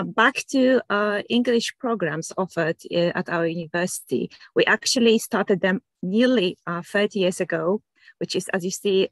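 Woman giving a slide talk on a call, lots of ums, slightly low voice, dry sound. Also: a bit bright, no echo. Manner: hesitant, many fillers and repeats. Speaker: university admissions officer. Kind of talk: presenting slides. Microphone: video call.

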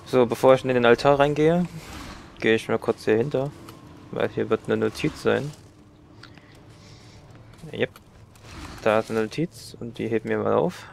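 A man's voice: mid-range voice, moderate pace, creaky, long pauses, a little mellow, a little hiss or hum. A man with a cold giving casual, chatty commentary while playing a game. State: has a cold. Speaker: let's play gamer.

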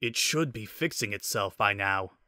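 A man voicing a gruff, fatherly old male hedgehog mechanic in a story reading, stern and scolding. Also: lowish voice, expressive, moderate pace, pushed and bright, no room sound. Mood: focused.

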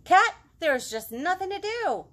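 Woman playing a whiny, high-pitched little frog. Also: sing-song, unhurried, a bit bright, heavily acted. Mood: whiny, bored. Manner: whiny, exaggerated, swooping. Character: whiny, restless young male frog, bored and excitable.

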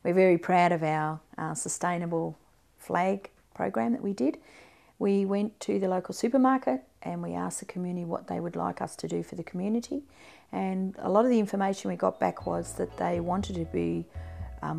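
A woman's voice: lowish voice, a little fast, vocal fry, dark tone, soft background music. A middle-aged woman with an Australian accent, a community centre coordinator, speaking proudly and plainly in a sit-down interview. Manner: friendly, proud, plain-spoken.

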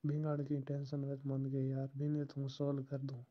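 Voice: mid-range voice, monotone, moderate pace. A caller talking casually and privately on a recorded phone call.

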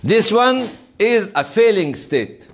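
Animated man lecturing a class. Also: high voice, takes it slowly. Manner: emphatic storytelling with rhetorical questions. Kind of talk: informal interactive classroom lecture.

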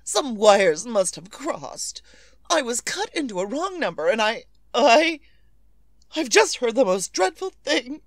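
A high-strung, imperious married woman in an old radio thriller. Her high voice swoops theatrically as she frets and demands. The sound is close and dry. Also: very animated, no echo. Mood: agitated, pleading. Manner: dramatic, demanding, flustered and halting. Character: imperious, anxious, fretful married woman making frantic phone calls. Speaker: lead actress. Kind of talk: 1940s radio thriller acting.

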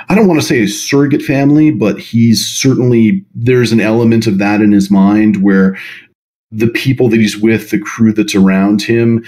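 A man's voice: fairly low pitch, darkish tone. A man answering as a podcast guest, explaining things at length in a thoughtful, hesitant conversational way. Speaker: podcast guest, role-play writer.

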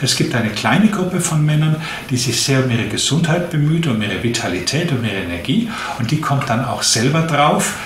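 A man in his late fifties giving measured explanations as a doctor being interviewed. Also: medium pitch, animated. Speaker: interviewed physician. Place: small indoor room, seated at a desk. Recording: echoey room with clear background noise.

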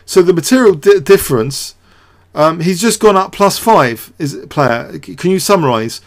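A man with a somewhat high-pitched voice hosts an analytical commentary. He works things out aloud without a script, hesitating and repeating words as he goes. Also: animated, normal speed. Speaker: host of a chess show. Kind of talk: off-the-cuff game commentary and analysis.

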